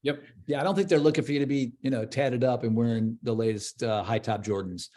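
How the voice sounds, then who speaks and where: A man in his fifties, a guest in a relaxed interview. His voice sits at an ordinary middle pitch, and he talks thoughtfully, hunting for words and trailing off. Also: fairly quick. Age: 50s. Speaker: church leader guest. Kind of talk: casual interview conversation.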